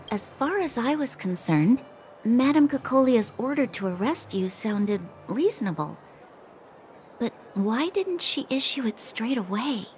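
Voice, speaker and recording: An actress playing an earnest, dutiful young female officer in a video game, speaking in a measured, formal, reflective way. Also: medium pitch, darkish tone, slight background noise, lightly acted. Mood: questioning. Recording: faint music underneath.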